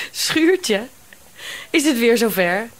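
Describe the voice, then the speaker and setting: An actress playing an unruffled, playful daughter in a radio drama, talking in a breezy, teasing manner. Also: female voice, a little high, exaggerated voice, rough recording. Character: amused, unruffled, playful daughter of an eccentric man.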